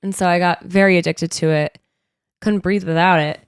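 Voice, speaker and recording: A woman with a stuffy, blocked-up nose rambles through a casual podcast chat, padding her stories with fillers. Her voice sits fairly low and comes across smooth and clean. The sound is dry and close, with no room around it. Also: steady tone, normal speed, no echo. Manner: chatty, rambling storytelling with many fillers. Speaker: podcast co-host. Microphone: studio microphone.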